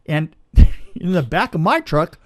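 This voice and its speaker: A middle-aged man telling a casual anecdote in an emphatic, halting way, repeating phrases and checking in with the listener. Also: on the high side, sing-song. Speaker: podcast guest, film crew veteran.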